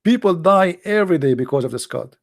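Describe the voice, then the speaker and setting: A man's voice: high-pitched, expressive, a little fast, gravelly, no echo, studio quality. A man with an Arabic accent, a native Arabic speaker, hosting an informal, impassioned monologue in a blunt, emphatic manner with repeated phrases and rhetorical questions. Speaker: host, religious polemicist.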